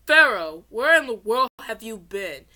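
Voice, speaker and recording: An angry, scary priest, played by a woman in an amateur story reading, scolds in a loud, demanding way. The voice is fairly high and bright, with a stern edge. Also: big pitch swings, unhurried, full character voice. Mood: stern, scolding.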